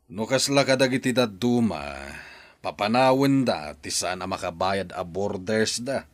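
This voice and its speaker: An actor with an Ilocano accent playing a practical, questioning married landlord in an audio drama. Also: male voice, on the low side, a little slow, natural voice. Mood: skeptical.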